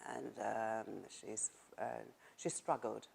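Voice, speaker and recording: A middle-aged woman with a Chinese accent and a remarkably low voice speaks in a casual interview. Her English is a second language, and she pauses often with fillers as she thinks aloud. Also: fairly even intonation, gravelly, a little mellow, slightly rough. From China. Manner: thoughtful, halting, with frequent fillers and laughs. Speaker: author interviewee.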